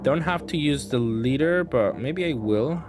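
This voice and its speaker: A man's voice: mid-range voice, animated, measured pace, background noise. A man giving casual gameplay commentary, thinking aloud in a hesitant, self-correcting way. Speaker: gaming content creator commentating matches.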